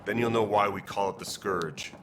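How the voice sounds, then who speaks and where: Sci-fi game militia chief male actor: very low, rough, grim, terse, noisy background. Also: moderate pace, recorded from a distance, natural voice. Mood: grim, weary. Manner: terse, grave. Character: weary, grim male space-station militia leader.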